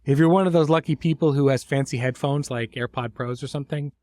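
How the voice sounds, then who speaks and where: A man's voice: medium pitch, fast talker, warm tone, no room sound. A middle-aged man hosting a podcast in an informal, conversational way, effusive with his praise. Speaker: host and series creator.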